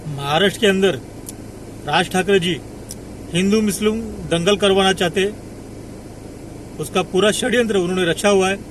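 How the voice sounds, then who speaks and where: Male activist, high pitch, emphatic political statement, faint street noise outdoors. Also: moderate pace, long pauses, rough recording.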